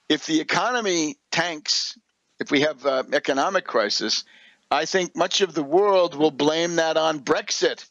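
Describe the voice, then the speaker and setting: Older man, higher-pitched, declaiming. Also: fairly bright tone, no room sound, muffled recording. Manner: emphatic, declamatory, scornful. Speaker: radio show host. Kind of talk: political commentary monologue.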